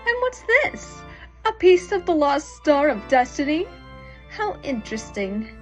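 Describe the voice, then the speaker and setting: A woman's voice: high-pitched, very animated, a little slow, faint music behind. A woman playing a haughty, menacing sorceress villain in an audio drama, cold and mocking.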